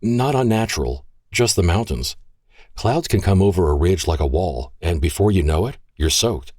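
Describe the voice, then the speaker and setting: A man's voice: low-pitched, normal speed, dry recording, studio quality. A man voicing a curious, teasing young woman who works as a local mountain guide and tells folksy stories. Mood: playful. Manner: lively, playful, folksy storytelling.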